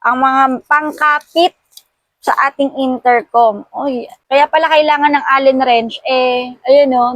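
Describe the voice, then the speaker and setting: Playful high-pitched Filipino woman vlogger. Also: dry recording. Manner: playful, giggly, chatty with exclamations. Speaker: vlogger unboxing a motorcycle intercom. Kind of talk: casual product unboxing vlog.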